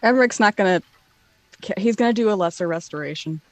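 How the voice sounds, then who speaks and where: A woman's voice: medium pitch, fast, no room sound. A woman playing a tabletop role-playing game, casually announcing her character's moves and chatting.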